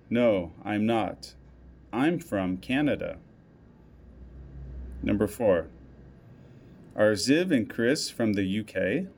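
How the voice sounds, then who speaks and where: Very slow, deliberate man reading a test. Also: on the low side, darkish tone, slightly rough. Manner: slow, deliberate, repeating each item twice. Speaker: test reader. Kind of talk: reading a listening test aloud.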